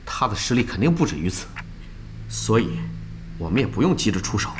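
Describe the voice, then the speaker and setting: A man's voice: fairly low pitch, very animated, fairly quick, noisy background. A man playing a scheming, arrogant captain of a gaming team in an audio drama, sneering and commanding. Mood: calculating. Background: music.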